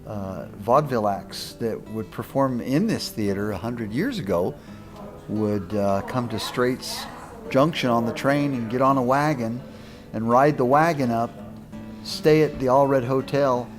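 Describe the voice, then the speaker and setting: A man's voice: mid-range voice, measured pace, faint music behind. A man being interviewed, telling stories in a casual, conversational style. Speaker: interviewee, co-producer of a radio show.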